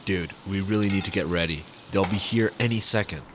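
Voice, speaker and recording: An actor playing an anxious, cautious buddy-cop sidekick in a sci-fi comedy audio drama, worried and urging. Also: male voice, low voice, fairly quick, noisy background, natural voice. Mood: urgent worry.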